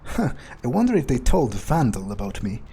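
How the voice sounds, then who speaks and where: A man playing a wary, ruthless vampire on the hunt, thinking aloud in halting, hesitant phrases over a video game. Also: medium pitch, wildly swooping pitch, gravelly, warm tone, slight background noise. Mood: musing, calculating. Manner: musing, hesitant, halting self-corrections. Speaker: let's player role-playing a game character. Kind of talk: in-character improvised gameplay narration, thinking aloud.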